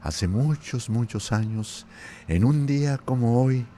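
A man's voice: medium pitch, takes it slowly, warm tone, slight background noise. An older man with a Mexican accent hosting a live show in a jovial, folksy, chatty way. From Zacatecas, Mexico. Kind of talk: casual chat with audience.

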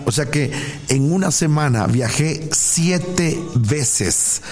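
A man's voice: medium pitch, darkish tone. A man from Puebla with a Mexican accent, talking casually as a pastor hosting a live broadcast, effusively blessing listeners and repeating his phrases for emphasis. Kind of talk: casual live talk, greeting listeners and reading messages.

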